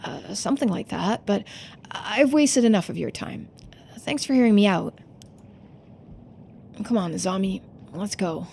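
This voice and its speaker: A woman voicing an earnest, subdued young diver in a video game, speaking seriously and with quiet determination. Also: medium pitch, animated, unhurried, gravelly, long pauses, slight background noise. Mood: awkward, hesitant.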